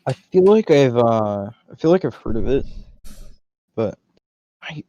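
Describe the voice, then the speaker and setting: An American man hosting a casual podcast, chatty and quick to laugh as he shares anecdotes. His voice is mid-pitched and mellow, recorded dry and a bit dull and lo-fi. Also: lively intonation, dry recording. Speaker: podcast host.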